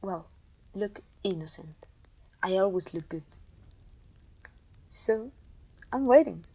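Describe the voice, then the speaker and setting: A woman's voice: medium pitch, animated, takes it slowly, dark tone, breathy edge, full character voice, rough recording. A woman playing a haughty, domineering evil queen and sorceress in a scripted roleplay audio, imperious, scornful and theatrical. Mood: impatient, arrogant. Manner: imperious, scornful, theatrical, with sighs and disgusted groans.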